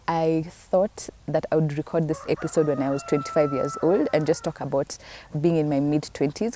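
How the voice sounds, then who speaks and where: A woman's voice: deep voice, dark tone, a little hiss or hum. A woman, hosting a solo podcast in a rambling, chatty way with many fillers. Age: 20s. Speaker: podcast host. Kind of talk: casual solo podcast talk.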